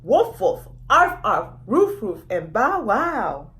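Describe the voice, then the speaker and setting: A kindly animal mayor from a storybook, performed by a woman. The speech is declaimed and emphatic, on a high voice with lots of rise and fall. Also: very animated, takes it slowly, a bit bright, exaggerated voice. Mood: proclaiming. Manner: declaiming, emphatic, repeats phrases. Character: male animal town mayor, proclaiming, kindly.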